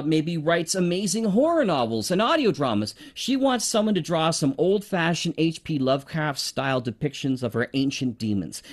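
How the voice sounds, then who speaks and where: A man giving an enthusiastic, emphatic promotional pitch in a conversational explainer style. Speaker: presenter promoting an online marketplace.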